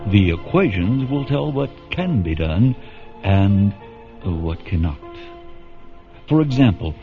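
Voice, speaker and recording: An actor playing a solemn, portentous science fiction radio narrator, grave and deliberate in scripted narration. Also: male voice, fairly low pitch. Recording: dull radio recording over music.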